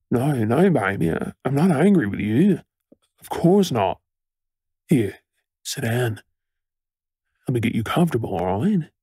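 Husky Australian actor as a frantic, doting boyfriend. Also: male voice, medium pitch, warm tone, no echo, clean studio sound, exaggerated voice. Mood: soothing, tender. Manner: stammering, emotional, affectionate. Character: frantic, tender, fussing boyfriend of a firefighter. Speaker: audio role-play voice actor. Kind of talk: intimate boyfriend role-play, ASMR-style acting.

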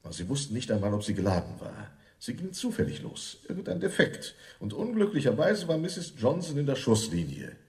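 An actor playing a cool, unscrupulous defence lawyer with a calculating manner, in radio crime drama style. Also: male voice, mid-range voice, darkish tone.

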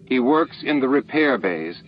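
A man's voice: medium pitch, big pitch swings, unhurried, pitched above the performer's own voice. A man voicing a deferential young alien worker in an audiobook, giving formal statements. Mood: dutiful testimony. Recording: dull, noisy lo-fi book-on-tape recording.

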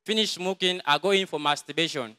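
A young man with a Cameroonian English accent gives a quiet, halting testimony in a large crowded church, speaking into a handheld mic someone else holds. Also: fairly high pitch, clear, resonant tone. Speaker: church member giving testimony. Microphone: handheld mic held by another person.

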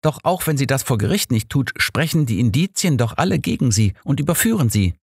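A man's voice: medium pitch, sing-song, fairly quick, gravelly, slightly rough, no echo, full character voice. A man plays a detective's loyal male sidekick in an audiobook. He argues his case step by step, reassuring and insistent, in a dry recording. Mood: reasoning, insistent. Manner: argumentative, reasoning. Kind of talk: audiobook character reading.

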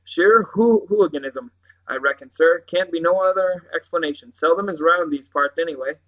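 A man's voice: on the high side, moderate pace, a bit bright, close to an everyday voice, rough recording. A man voicing a middle-aged village police sergeant in an audiobook, folksy and confident, dropping words as he talks. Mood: decisive. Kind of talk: audiobook character voice.

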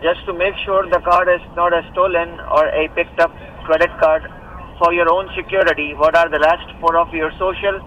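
A man on a phone call, reading a formal, scripted pitch. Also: level tone, moderate pace, recorded from a distance, slight background noise. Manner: smooth, formal scripted delivery. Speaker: scam caller. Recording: muffled phone audio over car speaker.

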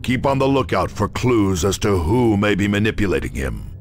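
An actor playing a grave space marine officer, giving a formal, measured and authoritative military briefing. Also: male voice, lowish voice, expressive, noisy background. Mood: grave, commanding. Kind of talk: scripted military briefing narration.

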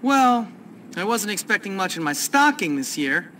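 Cocky, bright-voiced sarcastic schoolboy. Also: male voice, sits high, big pitch swings, moderate pace, a little hiss or hum, full character voice. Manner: wry, sarcastic. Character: cocky, sarcastic red-haired schoolboy tough. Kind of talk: video game cutscene acting.

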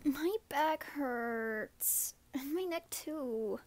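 A woman's voice: sits high, extremely slow, darkish tone. A woman playing a mischievous, grateful young witch sister back from the grave, in an ASMR roleplay. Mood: complaining.

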